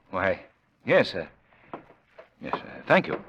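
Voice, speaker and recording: An actor playing a bold, urbane amateur sleuth in an old-time radio mystery, decisive in manner. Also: male voice, sits low, sing-song, drawn-out words, fairly bright tone, highs cut off, full character voice. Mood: awkwardly polite. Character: suave, bold male amateur sleuth, adult. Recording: old radio recording with faint noise.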